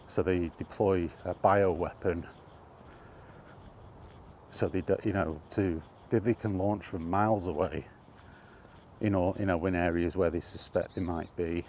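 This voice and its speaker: A man's voice: low-pitched, darkish tone, a little hiss or hum. A man talking casually while out on a walk, rambling and correcting himself, getting worked up over his point. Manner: rambling, self-correcting, grows exasperated. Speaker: vlogger. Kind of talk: casual walking monologue. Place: outdoors on a walk.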